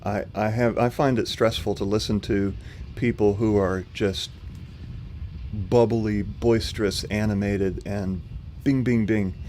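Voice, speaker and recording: A man with a very low, slightly rough voice hosts a live stream from home. He talks in a calm, level tone full of small fillers. A faint hum of noise sits under his voice. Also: unhurried. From not from North Carolina. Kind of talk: casual livestream commentary answering chat.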